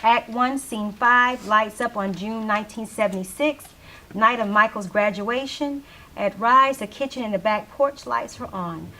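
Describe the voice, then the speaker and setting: A woman's voice: fairly high pitch, a little slow, sharp tone. A woman narrating a play by reading its stage directions aloud from a script.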